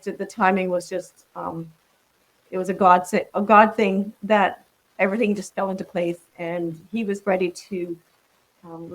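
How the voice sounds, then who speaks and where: A woman in her sixties talks in a reflective, casual way. Her voice sits a little low. She pauses and restarts often. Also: poor audio. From Netherlands. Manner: warm, reflective, with frequent ums and restarts. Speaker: guest. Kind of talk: casual interview conversation.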